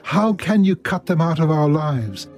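A man with a fairly high yet mellow, warm voice, reading an interview translation as a voice-over with earnest indignation. his delivery is clear and steady. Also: measured pace.

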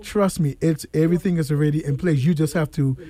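A man hosting a conversational religious radio interview, speaking haltingly and often repeating words. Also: a little high, dark tone. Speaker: radio host interviewing guests.

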